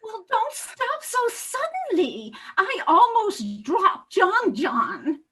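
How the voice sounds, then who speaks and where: Woman acting a fussy eccentric lady, piercingly high, bright and swooping, plaintive, stage comedy. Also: wildly swooping pitch, takes it slowly, full character voice. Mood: fretful, hurt. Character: eccentric, soft-hearted, fussy lady doting on canary.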